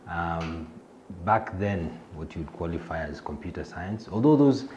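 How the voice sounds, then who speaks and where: A man's voice: low voice, dark tone, muffled recording, a little hiss or hum. A middle-aged Kenyan man with a Kenyan accent, introducing himself in an interview, hesitant with many fillers and restarts. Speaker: fintech executive.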